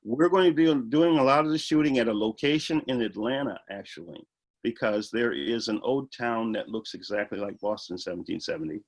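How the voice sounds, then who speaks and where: A man's voice: medium pitch, brisk. A man being interviewed about his film, explaining earnestly and eagerly, with frequent fillers. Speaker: filmmaker guest. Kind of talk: interview answers.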